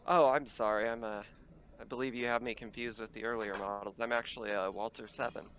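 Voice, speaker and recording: A man voicing a courteous, quietly taunting android in a tabletop game. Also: medium pitch, steady tone, brisk, highs cut off, a little hiss or hum, lightly acted. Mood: smoothly polite. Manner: soft-spoken, courteous, taunting. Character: polite, smirking male android posing as harmless.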